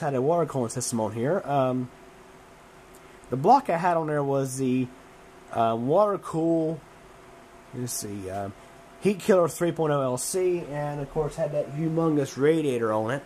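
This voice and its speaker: A hoarse man getting over a cold, talking casually about his computer in a home room warmed by a wood stove. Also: fairly high pitch, expressive, normal speed, slight background noise. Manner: rambling, many fillers and restarts, chuckling. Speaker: computer hobbyist vlogger. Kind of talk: casual tech vlog.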